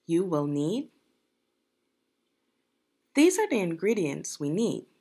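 Casting: woman, middle-aged, lowish husky voice, instructive children's read-aloud with very expressive pitch. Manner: deliberate, instructive, with pauses and questions. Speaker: education consultant reading aloud to children. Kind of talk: guided read-aloud lesson for young children.

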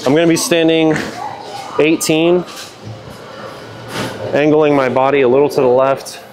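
A young man and bowler talking relaxed through his practice shots and rating them, on a lapel mic in a large indoor bowling alley. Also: a little high, measured pace, music in the background. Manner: relaxed, rates his own shots plainly. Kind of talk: casual instructional talk to camera. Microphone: clip-on lapel mic. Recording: noisy bowling alley behind. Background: bowling alley noise, clicks.

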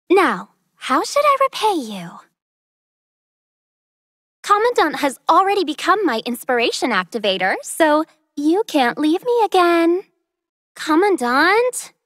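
Very high-voiced playful young artist girl. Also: a bit bright, no echo, clean studio sound, heavily acted. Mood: coy. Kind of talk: video game character voice lines.

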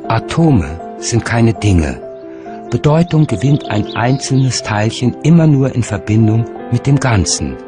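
A man reading scripted documentary narration in a measured, articulate way. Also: mid-range voice, sing-song. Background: music.

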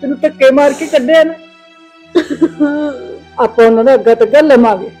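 A woman playing a kindly middle-aged aunty in an emotional drama, coaxing and soothing with gentle insistence. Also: sits high, moderate pace, natural voice. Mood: comforting, indignant. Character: kindly, motherly older aunty comforting a distressed young woman. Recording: music behind the voice.

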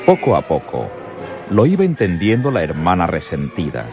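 A man's voice: low voice, huge pitch swings, moderate pace, music in the background. A man delivering dramatic scripted narration for a radionovela.

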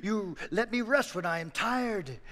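Dude playing a calm gentle ox, slow and soft spoken, echoey hall. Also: male voice, sits high, pitched above the performer's own voice. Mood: grateful. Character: patient gentle male ox, loyal and wise. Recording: long hall reverb.

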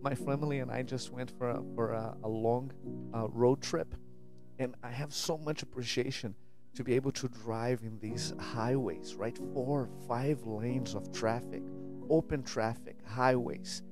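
A man's voice: medium pitch, fairly even intonation, a little slow, music in the background. A man in his forties, born and raised in Brazil, giving an earnest, searching monologue to camera in his home studio on a stand mic, often restarting and checking in with "right?". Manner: earnest, searching, many restarts and repeated "right?", gestures a lot. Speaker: spiritual vlogger. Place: home studio with guitars and purple lights. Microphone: studio mic on a stand, headphones.

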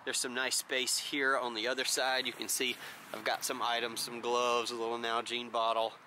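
A man's voice: medium pitch, flat delivery, normal speed, sharp tone, slight background noise. An American man around forty giving a casual gear review in vlog style. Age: 30s to 40s.

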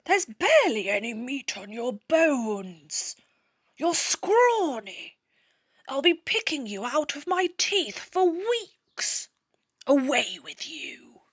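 A woman voicing a greedy male troll from a children's storybook, with a menacing, booming, drawn-out delivery. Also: very animated, dry recording, full character voice. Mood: disgusted, grumbling. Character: greedy, roaring, bullying male troll under a bridge.

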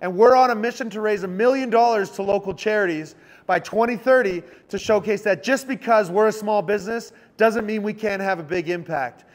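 A man from Canada, co-owner of a clothing company, giving an upbeat, salesy pitch in a loud, booming voice. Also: a little fast. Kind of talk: promotional pitch and panel talk. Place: event hall.

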